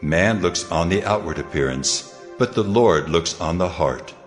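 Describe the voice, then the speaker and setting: A man's voice: low-pitched, animated, unhurried. A man voicing God as a solemn, commanding ancient authority in a dramatized Bible story. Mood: solemn, admonishing. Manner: solemn, declarative. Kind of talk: dramatized Bible narration acting. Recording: long cavernous reverb, music underneath. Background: music.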